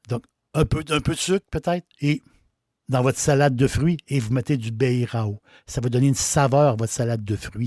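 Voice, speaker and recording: A man's voice: medium pitch, a little mellow, no room sound, studio quality. A man with a Québécois French accent, an innkeeper hosting a casual, folksy talk who often repeats his words. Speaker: innkeeper host. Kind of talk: casual talk about a liqueur.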